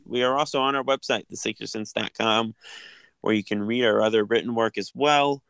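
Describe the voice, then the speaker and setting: Podcast host, male, lowish clear voice, dry close mic, relaxed chatty delivery. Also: fairly quick, no echo. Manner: easygoing, filler-heavy, frequent restarts. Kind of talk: casual sports podcast chat.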